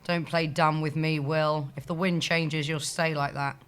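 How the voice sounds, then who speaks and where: A woman's voice: low voice, flat delivery, unhurried, smooth, soft background music. A woman playing a lazy, shameless receptionist in an audio sitcom, with a flippant, dry manner.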